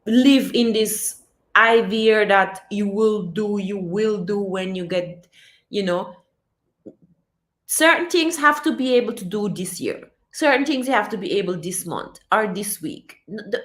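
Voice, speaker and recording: A woman chatting casually on a livestream, reading her chat and urging viewers to chase their dreams, animated and repeating herself often. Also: medium pitch, echoey room. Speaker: vlogger reading live chat.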